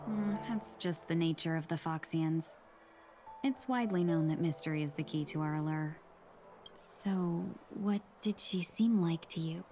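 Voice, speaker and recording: An actress playing a composed, silver-tongued young fox-woman merchant in a video game, speaking in a measured, polite, wistful way over music. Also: low voice, a little hiss or hum. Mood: playful. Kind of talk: scripted video game voice acting. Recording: loud game music underneath.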